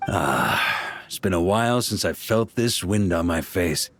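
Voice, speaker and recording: An actor playing a seasoned, easygoing knight grandmaster in a video game, speaking in a laid-back, wry way. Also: male voice, deep voice, lively intonation, a little slow, a bit bright, a little hiss or hum. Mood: matter-of-fact. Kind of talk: video game character dialogue.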